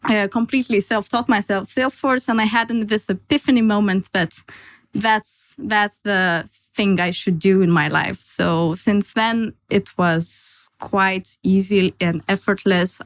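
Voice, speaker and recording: A woman's voice: medium pitch, no echo, low-quality recording. A young woman from Lithuania, a native Lithuanian speaker, chatting casually as a podcast guest and laughing between restarts. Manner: restarts and laughs while telling her story. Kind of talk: casual chat.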